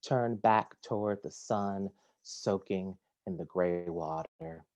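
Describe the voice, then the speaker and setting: A man reading his poems aloud at home over a video call, measured and deliberate, sometimes dropping to a hush. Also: sits low, no echo. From Detroit, United States. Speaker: poet. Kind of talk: poetry reading. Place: home, at a kitchen table.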